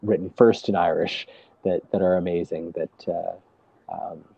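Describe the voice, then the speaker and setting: A man telling stories as a guest, talking in a hesitant, halting way with many restarts, seated at home on a video call. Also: sits low, fairly even intonation, gravelly, dark tone, husky, dry recording, dull audio. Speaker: storyteller guest. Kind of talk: casual conversation.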